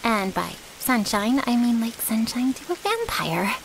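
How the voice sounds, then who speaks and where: Brash, loyal female thrall, acted by a woman, cocky and casual, lively higher voice, unhurried, audio roleplay. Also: creaky, a little hiss or hum, exaggerated voice, low-quality recording. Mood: glum, confiding. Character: scrappy, brash, loyal young female vampire's servant.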